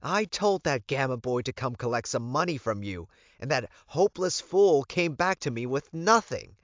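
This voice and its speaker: A man's voice: mid-range voice, big pitch swings, moderate pace, no echo, voice pushed up, lightly acted. A man voicing a demanding, entitled, angry woman in an audiobook, with an indignant raised delivery. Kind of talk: audiobook character voice. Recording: muffled, dull recording.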